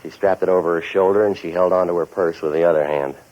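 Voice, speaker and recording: An actor playing a cynical, tough-guy hired investigator in a 1940s radio noir, deadpan and sardonic as he talks and narrates. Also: low-pitched, quick pace, close to an everyday voice. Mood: wry, world-weary narration. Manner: deadpan, sardonic, hard-boiled. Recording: muffled 1940s radio recording.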